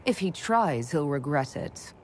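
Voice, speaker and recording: A confident young elven mage woman in a fantasy game. Her voice is fairly low but moves around a lot, with firm, direct delivery. Some faint noise sits behind it. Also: big pitch swings, unhurried, exaggerated voice. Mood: determined. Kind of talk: video game cutscene acting.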